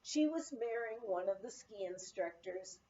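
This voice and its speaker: Slow, lively woman reading a memoir, muffled recording. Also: mid-range voice. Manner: storytelling, acts out quoted dialogue. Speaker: audiobook reader. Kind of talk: expressive memoir narration.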